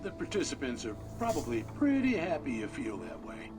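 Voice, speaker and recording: Animated small-town radio host. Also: male voice, mid-range voice, a little fast. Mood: amiable. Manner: chatty, flattering, folksy. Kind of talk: radio interview acting in a game. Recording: clear music behind the voice.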